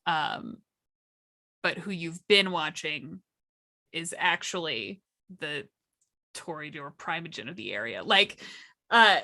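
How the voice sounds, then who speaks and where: A woman's voice: deep voice, expressive, slow pace, long pauses, pushed and bright. A woman talking as a panelist in a casual, unscripted discussion, explaining things with enthusiasm. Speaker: workshop panelist.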